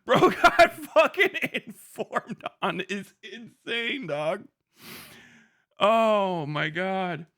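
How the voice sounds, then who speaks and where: A man's voice: high voice, lively intonation, very slow, a bit bright, no room sound, clean studio sound. A young man streaming live, commenting casually and laughing a lot while he mocks an online figure with plenty of swearing. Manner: profane, laughing often, mocking.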